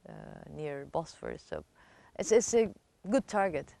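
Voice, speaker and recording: A woman with a slightly low voice talks casually in an interview. She pauses often, repeats words and leans on informal emphasis as she thinks aloud. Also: sing-song, creaky, warm tone. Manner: halting, repetitive, informal emphasis.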